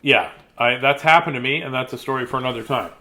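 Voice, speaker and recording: A man's voice: medium pitch, fast talker, bright, forceful tone, a little hiss or hum. A man reading children's stories aloud, chatting casually and breaking off into joking asides. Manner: chatty, self-interrupting, jokey asides. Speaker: children's story reader.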